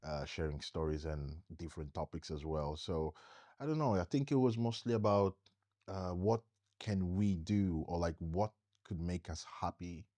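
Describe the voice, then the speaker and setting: A man's voice: low-pitched, fairly even intonation, moderate pace, warm tone, dry recording. A young man from Italy co-hosting a podcast in an easygoing, informal, conversational way. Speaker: podcast co-host. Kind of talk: casual conversation.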